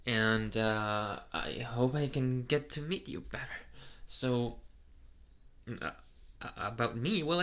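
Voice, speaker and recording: A man voicing a shy, flustered young vampire boyfriend in a soft ASMR roleplay, hesitant and stammering with nervous energy. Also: medium pitch. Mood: bashful, amused. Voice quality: soft, breathy at times.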